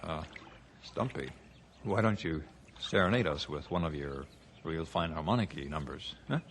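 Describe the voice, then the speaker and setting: A man playing a calm outdoorsman who speaks haltingly in an old radio adventure. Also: rumbling low, a little hiss or hum, heavily acted.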